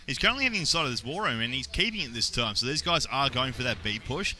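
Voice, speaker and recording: A young adult man with an Australian accent doing play-by-play esports commentary, getting excited on big plays. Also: medium pitch, fast talker, slight background noise. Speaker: esports caster.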